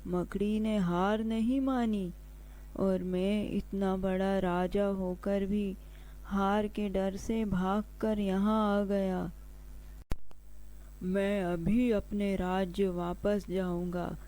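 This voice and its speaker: A man's voice: sits high, level tone, drawn-out words, dark tone. A man playing a humbled, defeated king in a children's cartoon, speaking in earnest, declamatory monologue. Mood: humbled, resolved. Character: defeated king, despondent then humbled and resolved.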